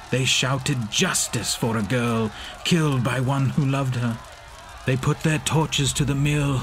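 Male actor as anguished monster, mid-pitched, slow measured verse, theatrical, horror radio play, ambient noise behind. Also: close to an everyday voice. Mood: anguished, fearful. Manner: solemn, measured, theatrical delivery. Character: Frankenstein's creature, anguished, poetic, vengeful yet loving monster. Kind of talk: dramatic rhymed monologue, horror audio drama.

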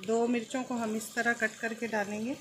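A woman hosting a cooking channel, calmly explaining each step as she cooks at an outdoor stove. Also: a little high, moderate pace, slight background noise.

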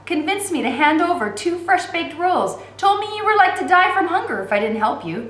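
A woman's voice: very high-pitched, big pitch swings, fairly quick, a bit bright, voice pushed up, heavily acted. A woman voicing a friendly, talkative young male shopkeeper with emphatic delivery in a storybook reading. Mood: chatty. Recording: roomy echo with faint background noise.

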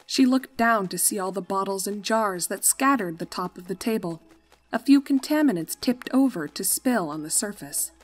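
A woman's voice: mid-range voice, lively intonation, normal speed. A woman reading scripted storybook-style narration as the narrator of a fan fiction tale.

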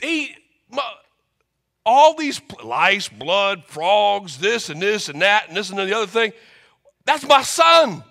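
An elderly mother overflowing with amazement, crying out in a high, bright voice, as a preacher acts her out. She exclaims and marvels, loud and breathless with joy. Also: slow, vocal fry, full character voice. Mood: overjoyed. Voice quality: excited, exclaiming. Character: amazed old Hebrew mother, overjoyed.

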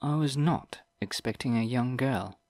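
A man reading an audiobook, voicing an ancient old wizard who speaks in a slow, deliberate and formal way. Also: medium pitch, darkish tone, no echo, studio quality, close to an everyday voice. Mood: measured.

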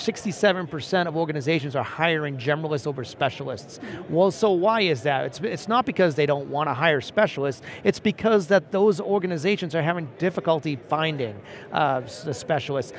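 A man in his forties with a voice pitched a little high for a man talks through survey findings at a steady, explanatory pace. He is seated at a booth on a busy convention floor and keeps checking in with "right?" as he makes each point. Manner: steady explanatory, cites statistics, frequent "right?". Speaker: principal analyst. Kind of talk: conversational analyst interview.

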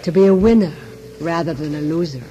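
A man's voice: fairly high pitch, brisk, mellow, muffled recording, natural voice. An actor playing a sly psychic shopkeeper in a radio drama, insinuating and theatrical as he bargains for souls. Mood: probing.

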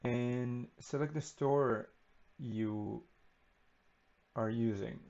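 A man giving an unscripted screen-recording walkthrough of software, relaxed and unhurried with frequent ums and uhs. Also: mid-range voice, vocal fry, lo-fi sound. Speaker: software tutorial presenter.

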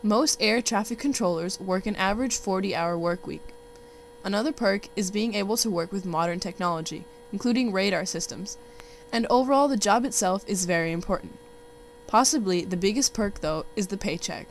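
A woman's voice: mid-range voice, normal speed, gravelly. A young woman, a student narrator, formally reading out facts from a documentary script. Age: young adult. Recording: faint background hum and noise.

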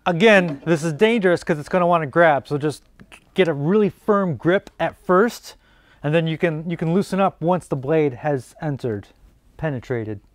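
A man's voice: a little high, normal speed, no echo. A man in his forties hosting a woodworking channel, explaining each step casually and thinking aloud as he works in an indoor workshop. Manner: relaxed, thinking aloud while working. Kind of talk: casual workshop how-to narration.

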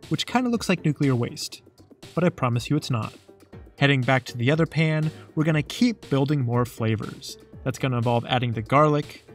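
Man narrating a playful cooking lesson. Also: medium pitch. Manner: playful, jokey instructional tone. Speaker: cooking show host.

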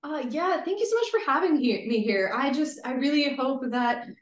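A young woman in her twenties, a graduate student guest, answering interview questions in an earnest, lively way with many fillers over a video call. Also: sits high, moderate pace. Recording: roomy video call audio.

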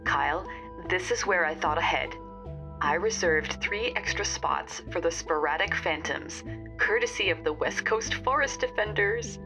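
Woman acting chatty informant, lower voice over music. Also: expressive, unhurried. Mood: chatty, confiding. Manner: chatty, confiding. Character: Nancy, chatty, helpful, slightly old-fashioned female informant. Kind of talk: audio fiction podcast acting.

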